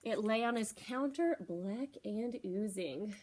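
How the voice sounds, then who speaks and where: A woman's voice: medium pitch, slow. A woman in her thirties reading a children's storybook aloud expressively as a library storytime presenter. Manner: expressive, addressing young children. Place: small library corner, indoors.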